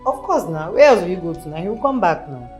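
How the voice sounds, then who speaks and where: Actress as a calm Nigerian friend, low lively voice, roomy echo. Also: female voice, quick pace, music in the background. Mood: soothing. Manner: soothing, reassuring. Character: calm young woman reassuring her friend.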